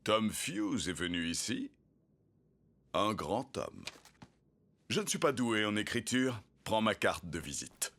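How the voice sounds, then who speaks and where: Smug, slow, low-voiced villain. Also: male voice, sing-song, fairly bright tone, slight background noise, full character voice. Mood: gloating. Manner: pompous, mocking. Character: smug, gloating male businessman villain, falsely polite. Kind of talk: animated cartoon dub.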